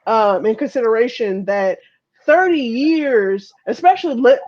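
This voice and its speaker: A woman in her thirties from Chicago with an American accent speaks frankly and casually, swearing freely. Her voice is on the high side, and the recording is somewhat dull and lo-fi. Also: expressive, measured pace, darkish tone. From Chicago, United States. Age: 30s. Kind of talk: casual chat, personal reflection. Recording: dull lo-fi audio.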